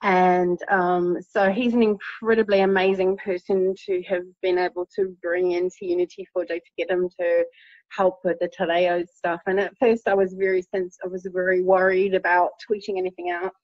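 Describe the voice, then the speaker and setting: A woman from New Zealand with a New Zealand accent, talking as an interview guest in a casual, hesitant way with many pauses and ums. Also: on the low side, little pitch movement, no echo, highs cut off. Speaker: campaigner guest.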